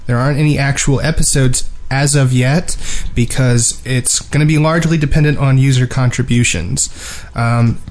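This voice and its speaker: A man co-hosting a casual gaming podcast, speaking haltingly with a dry, joking manner. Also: mid-range voice, fairly even intonation, a little mellow. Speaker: podcast co-host.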